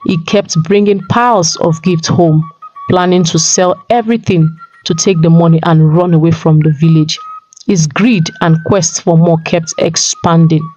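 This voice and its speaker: A woman's voice: lowish voice, moderate pace, dark tone, highs cut off. A woman storyteller reading a scripted folktale narration. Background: music.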